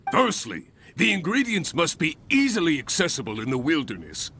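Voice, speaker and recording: An actor playing a hearty, grandiose adventurers' guild examiner in a video game, speaking in formal, exuberant flourishes. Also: male voice, on the high side, wildly swooping pitch, moderate pace, sharp tone, slight background noise, heavily acted. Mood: instructive, eager. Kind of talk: English video game voice acting.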